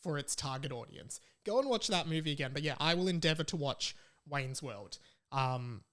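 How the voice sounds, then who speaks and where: A man's voice: fairly high pitch, expressive, moderate pace, a bit bright. A young adult man with an Australian accent, chatting casually as a solo podcast host in an informal, self-deprecating way.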